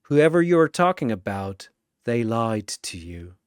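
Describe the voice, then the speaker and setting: A narrator playing a grim, measured masked avenger. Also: medium pitch, sing-song, clean tone, no echo, clean studio sound. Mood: quiet, grave. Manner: measured, solemn, cold pronouncements. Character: grim, disciplined masked male avenger, icy and solemn.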